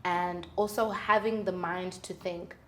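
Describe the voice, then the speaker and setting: A woman's voice: lowish voice, steady tone, unhurried, a bit bright, slight background noise. Reflective woman. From South Africa. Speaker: singer-songwriter, interview guest. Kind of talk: candid conversational interview.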